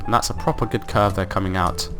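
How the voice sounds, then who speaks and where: A man with a croaky voice from being ill, hosting casual gameplay commentary and thinking aloud in an upbeat, rambling way. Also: sits low, steady tone, fast, music in the background. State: croaky from illness. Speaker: let's play host.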